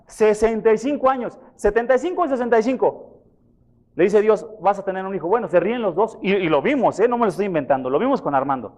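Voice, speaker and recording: A pastor preaching a sermon, questioning his congregation and retelling scripture in a lively way. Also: high voice, fast. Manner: questions the congregation, repeats references for them. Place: church.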